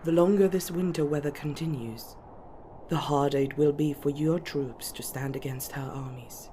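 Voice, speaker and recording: A woman playing a composed, strategic elven noblewoman, speaking in formal, measured counsel for an ASMR fantasy roleplay. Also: low-pitched, warm tone, slight background noise, natural voice. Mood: grave, assured.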